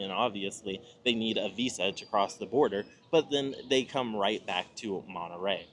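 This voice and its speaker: An American man from Nebraska hosting an informal advice monologue, talking in a measured, explanatory way with emphatic pauses. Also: on the low side, a bit bright, slight background noise. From Nebraska, United States. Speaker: video host giving travel advice.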